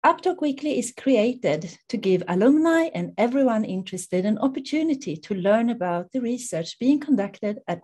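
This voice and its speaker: A middle-aged woman with a Swedish accent, formally introducing an online seminar as its host in a measured way. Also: on the high side, a little mellow. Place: indoors in front of a dark backdrop, video call.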